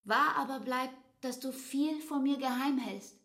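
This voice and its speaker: A woman's voice: high voice, unhurried, a bit bright, no echo, clean studio sound, exaggerated voice. An actress playing a wounded, reproachful young woman in love in a literary radio drama, earnest and pleading.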